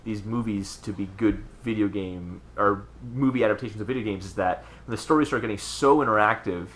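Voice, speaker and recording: A man's voice: on the low side, fairly quick, a bit bright. A man chatting casually as a podcast co-host about video games.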